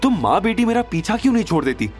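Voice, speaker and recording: Casting: male narrator as indignant young heroine, defiant, forceful, high and animated, radio-play style. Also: fast, faint music behind. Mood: exasperated. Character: defiant, indignant young woman standing up for herself.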